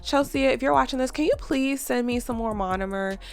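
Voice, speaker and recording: A woman's voice: high-pitched, fairly quick, vocal fry, clear, resonant tone, faint music behind. A woman with a stuffy, congested voice, chatting casually to viewers as a nail tech vlogger, with lots of fillers.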